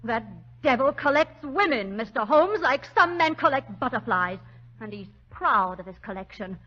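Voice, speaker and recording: A muffled old radio recording of a young street woman. Her voice is fairly high, brash and melodramatic, full of bitterness and scorn. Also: lively intonation, moderate pace. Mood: vengeful. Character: bitter, hard-bitten young London gutter woman, vengeful. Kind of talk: 1940s radio drama acting.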